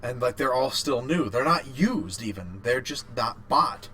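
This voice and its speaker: A man co-hosting a podcast in casual chat, rambling and haltingly repeating his phrases. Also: mid-range voice, expressive, sharp tone, a little hiss or hum. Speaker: podcast co-host. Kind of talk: casual chat about video games.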